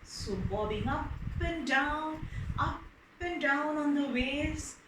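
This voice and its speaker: A woman telling stories for children, explaining as she goes, expressive and repeating words for emphasis. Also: high-pitched, slow. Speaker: storyteller. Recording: roomy echo with faint background noise.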